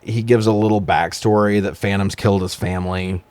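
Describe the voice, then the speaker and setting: A man's voice: lowish voice, steady tone, brisk, a little mellow, dry recording. A man co-hosting a casual film review podcast, chatting and asking trivia in a blunt, informal, profane way. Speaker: podcast co-host. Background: music bed.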